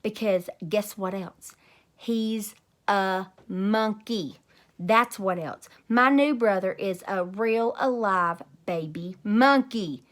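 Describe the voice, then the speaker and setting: A woman voicing a bossy, excitable kindergarten girl in a storybook, emphatic and childishly worded. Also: medium pitch, takes it slowly, natural voice. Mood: excited, insistent. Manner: childish grammar, emphatic, blurting.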